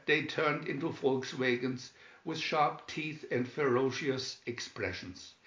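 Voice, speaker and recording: A man reading a storybook aloud in a deliberate, dramatic narrating style. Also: medium pitch, a bit bright. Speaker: storybook reader. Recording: dull, echoey room recording.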